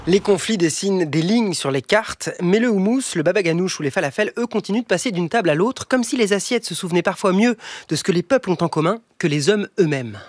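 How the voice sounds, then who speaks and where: A man's voice: sits high, lively intonation, fast talker, no echo. A man reporting from the street for a radio show, with upbeat, lightly scripted narration.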